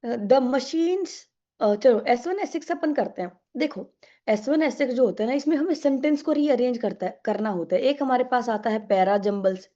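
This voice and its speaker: A woman's voice: fairly high pitch, normal speed, warm tone, dull audio. A young woman teaching an online exam-prep English class with a sore throat, chatty and coaxing, mixing English into her Hindi.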